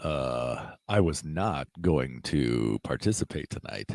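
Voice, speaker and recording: A man co-hosting a casual podcast, talking in a low, laid-back, joking way that the host calls his sexy voice. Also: lively intonation, slow pace, gravelly, a little mellow, no room sound. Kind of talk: casual chat.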